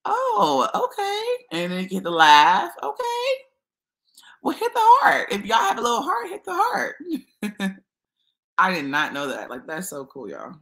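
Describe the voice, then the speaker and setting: A woman hosting a casual livestream, chatty and expressive, talking to her viewers as y'all. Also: a little high, unhurried, vocal fry. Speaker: YouTube livestream host.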